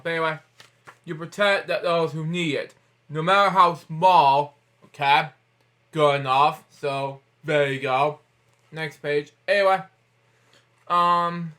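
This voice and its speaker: Higher-pitched man reading aloud informally. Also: sharp tone. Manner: halting, repetitive delivery with frequent interjections. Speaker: host of a bedtime story read-aloud series. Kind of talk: informal picture-book read-aloud with asides.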